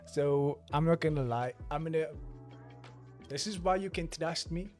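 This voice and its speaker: A man's voice: on the high side, moderate pace, a little mellow, faint music behind. A South African man in his twenties, chatting casually on a livestream in a joking, rambling way and talking straight to his chat. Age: 20s. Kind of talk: casual live stream chat.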